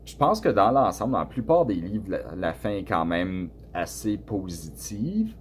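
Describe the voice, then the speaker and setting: A man from Canada with a Canadian French accent, answering students' questions about his book in a relaxed, hesitant way with many fillers and restarts. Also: low voice, measured pace, a little hiss or hum. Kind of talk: casual spoken answers to a class.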